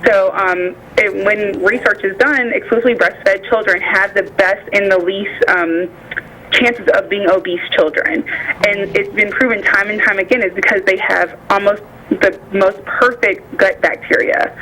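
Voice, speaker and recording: A woman's voice: mid-range voice, fairly even intonation, moderate pace, a little hiss or hum. An American woman speaking by phone as a nutritionist guest, explaining things informally and illustrating points with imagined quotes. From United States. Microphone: phone call. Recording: bright voice over phone line.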